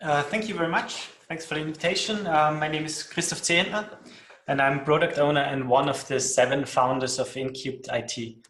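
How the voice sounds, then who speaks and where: A man's voice: a little high, brisk, slight background noise. Austrian-accented man in a roomy echo. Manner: steady, with "um" fillers. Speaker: company founder presenting. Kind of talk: webinar presentation.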